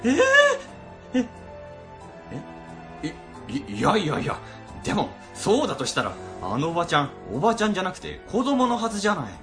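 Man acting a jumpy, high-pitched, cowardly office worker. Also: sing-song, fairly quick, pauses often, fairly bright tone, soft background music. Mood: flustered disbelief. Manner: stammering, flustered. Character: jumpy, cowardly male government office worker, easily spooked. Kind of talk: comic radio drama acting.

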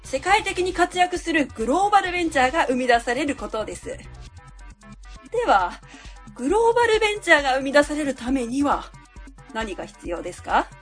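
High-pitched, overbearing woman consultant played by an actress. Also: expressive, fairly quick, soft background music. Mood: zealous, lecturing. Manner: didactic, asks and answers own questions. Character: overbearing female consultant lecturer, cold and self-assured. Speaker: actor in a web radio drama. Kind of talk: radio drama acting, delivering a presentation.

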